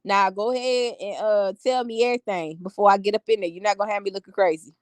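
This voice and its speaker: A woman's voice: mid-range voice, fairly even intonation, fast, dry recording. Sassy, emphatic woman on a dry phone mic. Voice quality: strong, confident voice. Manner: emphatic, sassy, repeats phrases for effect. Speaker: vlogger talking to live viewers. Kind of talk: casual storytelling chat.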